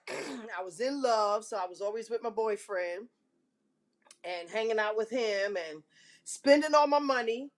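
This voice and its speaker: A woman hosting a live online show, telling a candid personal story to her viewers in a big, carrying voice, frank and self-mocking, often clearing her throat. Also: mid-range voice, unhurried, pauses often.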